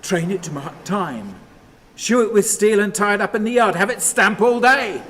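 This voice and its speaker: An actor playing a haunted, restless man in a radio play monologue, halting and repetitive, moving between muttering and command. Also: sits high. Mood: commanding, agitated. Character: haunted, rambling man talking to his dead father, brooding and restless. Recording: clearly noisy, steady ambient sound.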